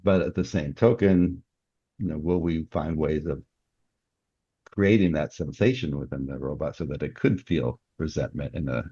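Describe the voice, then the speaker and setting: Very deep, gravelly man in conversation. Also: dark tone, no echo. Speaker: guest, novelist. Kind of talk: conversational interview discussion. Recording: dull, muffled dry recording.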